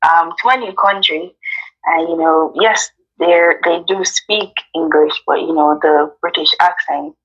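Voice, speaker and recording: A woman's voice: on the low side, steady tone, a little slow, a bit bright, breathy edge, lo-fi sound, faint music behind. A woman from Jamaica with a Jamaican accent, a guest chatting casually in an interview, talking easily with many ums and you-knows.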